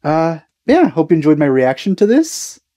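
A man's voice: on the high side, huge pitch swings, normal speed, mellow, no echo. A man in his thirties giving casual, enthusiastic reaction commentary as a video host, close on the mic. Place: small indoor room.

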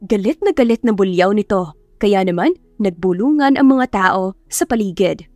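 A woman's voice: medium pitch, lively intonation, normal speed, dark tone, dry recording. A woman narrating a scripted romance audiobook in a dramatic storytelling style. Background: faint music.

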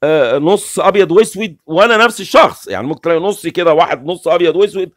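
A middle-aged man with an Egyptian Arabic accent speaks quickly and insistently, as if walking a listener through a plan during a home livestream. His voice sits a touch high for a man, and it comes across dry and close, with no room sound at all. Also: no echo. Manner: animated, gestures constantly, explains insistently. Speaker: livestream host. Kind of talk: casual livestream talk. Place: small room with curtains, indoors.

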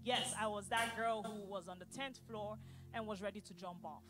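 A young woman from Maryland giving a personal testimony into a handheld mic among a church congregation, her quiet talk rising to loud declaring. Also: medium pitch, steady tone, normal speed. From Maryland, United States. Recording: echoey hall with loud music.

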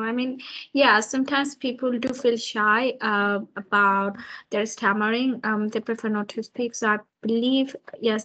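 A young woman interviewing over a video call, asking questions hesitantly with many pauses. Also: fairly high pitch, a bit bright, poor audio. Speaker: students' union officer interviewing. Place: room with large window.

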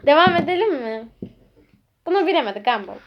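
A woman's voice: high voice, sing-song, fairly quick, lo-fi sound. A woman hosting a playful guessing game, chatting casually with viewers and a child in a coaxing, teasing way. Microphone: phone held by the speaker. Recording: noisy home phone recording with clicks.

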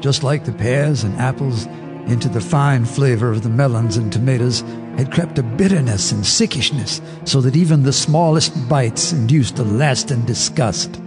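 An actor narrating as an old neighbor recalling dark events, grave and measured, in a radio drama. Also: male voice, medium pitch, music in the background, natural voice. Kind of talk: scripted storytelling narration.

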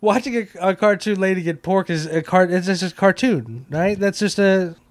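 Guy in his 30s riffing on a comedy podcast, kinda high voice, super dry close mic. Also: moderate pace, no room sound. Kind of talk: casual comic chat. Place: small indoor podcast room, on a couch. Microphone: handheld microphone held close.

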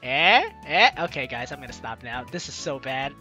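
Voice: mid-range voice, big pitch swings, moderate pace, bright, forceful tone, lo-fi sound. A let's play host reading visual novel lines aloud and reacting in an exclaiming, giggly way. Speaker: gaming video host. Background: game music.

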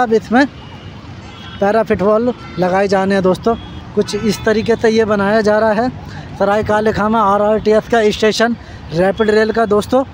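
A man's voice: high-pitched, slight background noise. A man casually vlogging outdoors beside a busy road, pointing things out and talking straight to his viewers on a handheld phone. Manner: repetitive, addresses viewers as friends, points things out. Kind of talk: casual walking construction-site vlog.

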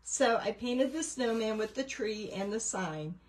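A woman's voice: a little high, animated, a little slow, low-quality recording. A woman chatting casually as she hosts a craft livestream, rambling through a show-and-tell of her painting work. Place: indoors at a craft table.

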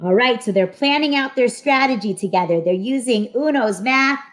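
Young woman, children's read-aloud presenter: lively, expressive, higher pitched, explains as she goes. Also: normal speed. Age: young adult. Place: small room at home, indoors. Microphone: webcam video call mic.

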